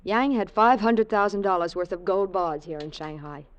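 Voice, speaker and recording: An actress playing a cool, scheming femme fatale with a poised, insinuating delivery in a 1940s radio drama. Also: female voice, medium pitch, moderate pace, muffled recording, lightly acted. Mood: cool, enticing.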